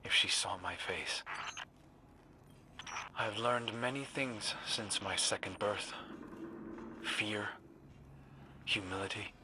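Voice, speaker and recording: A gentle, haunted guardian from a video game, reflective and brooding. The voice sits fairly low with a very sharp, breath-edged brightness. Faint noise lies behind the soft, halting delivery. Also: male voice, heavily acted, low-quality recording. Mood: wistful. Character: gentle, brooding amnesiac reborn male guardian hunter. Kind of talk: dramatic video game cutscene acting.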